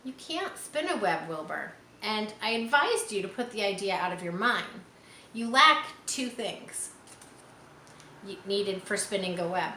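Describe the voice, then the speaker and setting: A woman's voice: medium pitch, sing-song, unhurried, sharp tone. A woman voicing a wise, patient female spider from a storybook, frank and kindly instructive. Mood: frank, consoling. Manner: instructive, kindly matter-of-fact. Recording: roomy echo, faint background noise.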